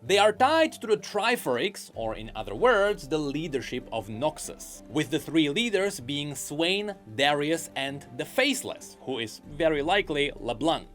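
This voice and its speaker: A man's voice: on the high side, very animated, unhurried, few pauses, a bit bright, soft background music. A man narrating a scripted commentary video about game character lore, eager and explanatory.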